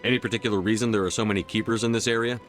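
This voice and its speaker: An actor playing a direct, businesslike military commander in a science fiction video game. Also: male voice, fairly low pitch, fairly even intonation, very fast, soft background music, close to an everyday voice.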